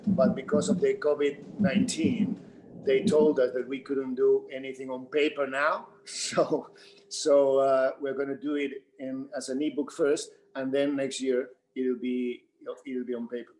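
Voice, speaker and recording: A man's voice: mid-range voice, little pitch movement, unhurried, darkish tone, slight background noise, low-quality recording. A man who works as a theatre director, giving spontaneous answers on a panel.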